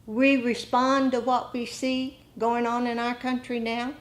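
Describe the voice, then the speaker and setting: Slow-speaking woman giving a dharma talk. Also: on the high side, little pitch movement. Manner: deliberate, rhetorical questions and short phrases. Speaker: meditation teacher.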